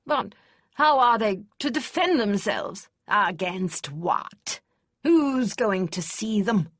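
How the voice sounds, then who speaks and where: A woman voicing a gruff, blunt older noblewoman in an audiobook, booming and teasing with emphatic force. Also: lively intonation, unhurried, a bit bright, no room sound. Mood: scornful. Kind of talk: audiobook character voice.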